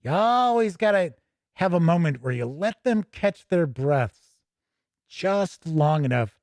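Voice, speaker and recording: A man's voice: a little high, animated, slow pace, darkish tone, no room sound, clean studio sound. A man hosting a tabletop gaming show, chatting casually with asides as he reads aloud and comments on a livestream.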